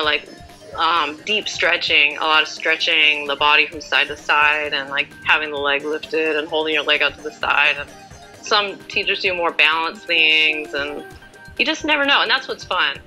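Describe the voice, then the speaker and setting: A woman's voice: deep voice, bright, forceful tone, rough recording. A woman in her forties answering as an interview guest in a casual, chatty, informal and self-deprecating way. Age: 40s.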